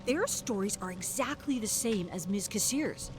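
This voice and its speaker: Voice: medium pitch, animated, moderate pace, pitched above the performer's own voice, natural voice. A narrator voicing an earnest male show staffer talking over a speakerphone, laying out what callers report. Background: game music.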